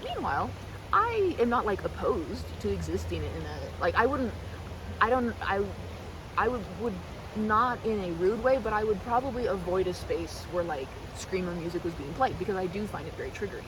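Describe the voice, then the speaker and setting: A woman with a mid-pitched, very animated voice chats away in a best-friend ASMR roleplay. She rambles earnestly, halting and doubling back on her words. Noticeable outdoor ambience runs behind her. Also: noisy background. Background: riverside park ambience.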